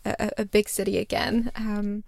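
A woman's voice: medium pitch, level tone, moderate pace, gravelly, darkish tone, no room sound, studio quality. A young woman from Singapore, chatting as an interview guest with lots of fillers and restarts. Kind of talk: casual interview chat.

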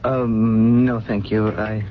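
An actor playing a gentle, refined man who is lost and uncertain, speaking politely and hesitantly. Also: fairly low pitch, flat delivery, takes it slowly. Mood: bemused, hesitant. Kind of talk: radio drama acting. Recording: dull, noisy old radio broadcast.

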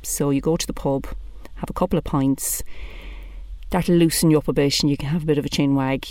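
Irish woman, thirties, blunt, chatty, animated, dry close mic. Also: low voice, fairly quick, no room sound. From Ireland. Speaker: comedian. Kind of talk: stand-up comedy monologue.

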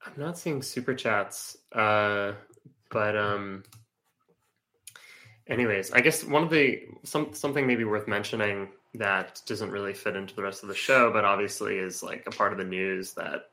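A male producer with a low, bright voice talks casually on the show. He pauses often and searches for words. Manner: halting, hesitant. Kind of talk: casual chat.

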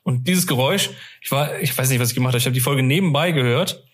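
A man's voice: medium pitch, quick pace. A man co-hosting a casual fan podcast, telling stories in a chatty, rambling, slangy way. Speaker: podcast co-host.

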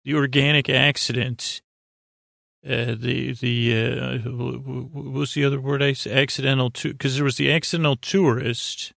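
Creaky-voiced man rambling through a bedtime monologue. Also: mid-range voice, flat delivery, normal speed, fairly bright tone, dry recording, clean studio sound. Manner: stammering, repeats and trails off mid-thought. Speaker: host of a bedtime sleep podcast.

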